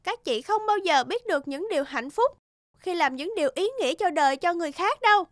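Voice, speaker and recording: A woman's voice: very high voice, expressive, fairly quick, fairly bright tone, no room sound, clean studio sound. A woman voicing a proud, selfless female silkworm in a cartoon, speaking earnestly and with reproach. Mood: reproachful. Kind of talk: cartoon voice acting.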